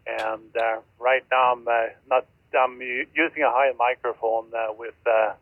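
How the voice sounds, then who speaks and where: A man speaking haltingly, with many pauses, in a ham radio contact, heard through a radio receiver. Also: medium pitch, steady tone, no echo. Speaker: amateur radio operator. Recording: narrow-band shortwave radio, fading volume.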